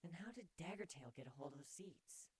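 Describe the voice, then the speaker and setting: A man's voice: fairly high pitch, lively intonation, fairly quick, husky, pitched above the performer's own voice. A man voicing a playful, teasing female pony with blunt wit in a fan fiction reading. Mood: curious.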